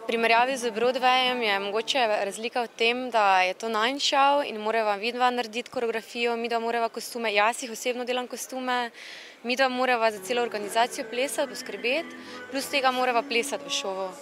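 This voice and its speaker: Slovenian dancer lady in her 30s, higher voice, talks fast, music in the background. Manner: quick, lively, full of fillers. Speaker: dancer and choreographer. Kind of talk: casual interview answers. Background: music playing.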